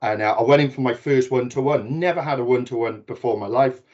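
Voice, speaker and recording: A man's voice: medium pitch, fast, darkish tone. Welsh man on a muffled video call. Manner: chatty, self-deprecating, many restarts. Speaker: guest speaker. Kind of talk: webinar talk. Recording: dull lo-fi video call audio.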